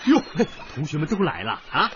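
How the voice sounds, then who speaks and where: A man's voice: a little high, wildly swooping pitch, brisk, a bit bright, muffled recording, slight background noise. An actor in a radio drama playing a genial, caring father, a humble boatman who talks hesitantly with many small interjections.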